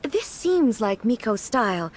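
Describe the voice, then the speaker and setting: A woman's voice: on the high side, big pitch swings, unhurried, darkish tone, a little hiss or hum. A woman playing a dignified, literal-minded female shogun in a video game, speaking formally and earnestly with naive curiosity. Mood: hopeful. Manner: formal, earnest, precisely articulated. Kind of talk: dramatic video game voice acting. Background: music.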